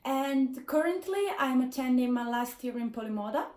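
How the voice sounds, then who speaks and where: A woman, introducing herself slowly and carefully. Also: high-pitched, expressive, darkish tone, roomy sound. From northern Italy. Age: 20s. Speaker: fashion design student. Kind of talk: brief self-introduction.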